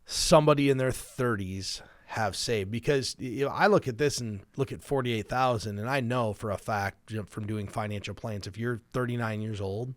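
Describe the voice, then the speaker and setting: A man's voice: lowish voice, moderate pace, few pauses, no room sound. Middle-aged man explaining money, dry studio sound. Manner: explanatory, illustrates with hypothetical first-person quotes. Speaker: financial planner and show host. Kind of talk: conversational personal finance advice show.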